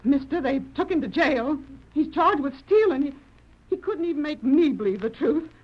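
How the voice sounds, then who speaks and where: An old radio western with a dull, narrow sound. an American frontier wife, heartbroken and earnest. Her voice is fairly high, her speech folksy and full of feeling. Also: animated, moderate pace. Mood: startled, imploring. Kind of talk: 1940s radio western acting. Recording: muffled old radio recording.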